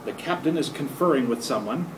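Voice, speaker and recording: A man's voice: medium pitch, brisk, natural voice, rough recording. An older man playing a frantic radio reporter giving an urgent eyewitness account in 1930s radio drama style. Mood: tense, watchful. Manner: urgent, breathless eyewitness delivery. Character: frantic male radio reporter describing an alien attack live. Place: hotel conference room, live panel reading. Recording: live room recording with steady noise.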